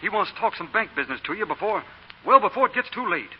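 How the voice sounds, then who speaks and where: An actor playing an urgent, deferential Southern messenger in a 1940s radio drama. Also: male voice, sits high, big pitch swings, fast talker, husky, exaggerated voice. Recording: muffled, echoing, noisy radio recording.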